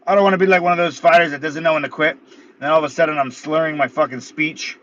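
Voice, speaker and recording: A man's voice: a little high, steady tone, fast, a bit bright. Blunt thirties man, muffled sound. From United States. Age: 30s. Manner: laid-back, blunt, swears casually. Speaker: guest, MMA fighter. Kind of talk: casual interview. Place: in his car.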